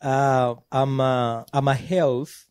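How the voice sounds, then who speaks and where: Ugandan male guest, relaxed and easy laugh, mid-pitch, dry close mic. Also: slow pace, clear, resonant tone, no echo. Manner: relaxed, laughs easily, grateful. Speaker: recording artist guest. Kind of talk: TV studio interview. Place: indoor TV studio set. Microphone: handheld mic.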